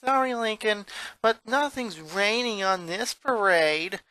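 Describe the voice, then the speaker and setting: A man's voice: high-pitched, lively intonation, takes it slowly, bright, forceful tone, dry recording, pitched above the performer's own voice, exaggerated voice, rough recording. A man voicing an upbeat, pun-loving teenage comedian sister from a cartoon fan story, with a jokey, teasing delivery.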